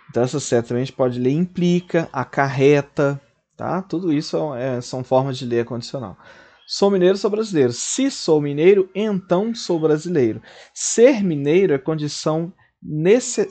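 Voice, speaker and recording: A man's voice: mid-range voice, darkish tone. Man teaching logic at a board. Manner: explains step by step, often checks in with "certo?" and "tá?". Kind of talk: recorded logic lesson.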